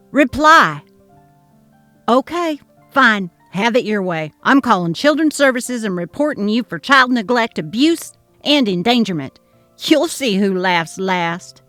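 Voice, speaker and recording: Woman voicing a shrill, jealous grandmother. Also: no echo. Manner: shrill, shouting, threatening. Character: jealous delusional older grandmother.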